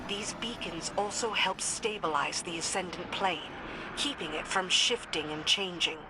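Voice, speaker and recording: A woman's voice: fairly low pitch, measured pace, background noise, close to an everyday voice. A woman playing a stern warrior lieutenant of a queen in a video game, speaking firmly and with clipped authority. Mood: grave, instructive. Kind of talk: dramatic video game dialogue. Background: music.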